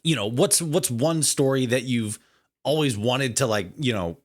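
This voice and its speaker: A man hosting a casual interview, speaking in a halting, hesitant way that circles and repeats as he forms his questions. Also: medium pitch. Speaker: podcast host, interviewer.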